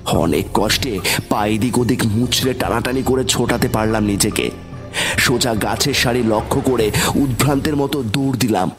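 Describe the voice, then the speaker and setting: A man's voice: medium pitch, normal speed, music in the background. A man giving a dramatic, suspenseful first-person narration of a scripted horror story.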